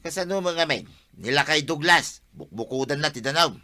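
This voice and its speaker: A man's voice: fairly high pitch, expressive, moderate pace, pushed and bright. A man playing a helpful but easily aggrieved village man in a comic radio drama, speaking emphatically. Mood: complaining. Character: plain-spoken village man, helpful but quick to complain.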